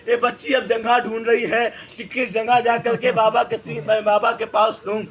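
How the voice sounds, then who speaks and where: A man delivering a mournful sermon recitation, speaking with deep emotion and addressing the gathering directly. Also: little pitch movement, fairly bright tone. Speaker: preacher.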